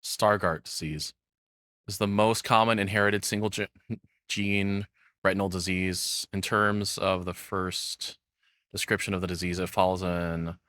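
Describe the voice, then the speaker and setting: A man with a fairly low voice and a forceful, bright edge, recorded very dry. He talks off the cuff as a reaction host, blunt and sweary, and gets exclamatory when worked up. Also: level tone, measured pace, dry recording. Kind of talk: casual, unscripted reaction commentary.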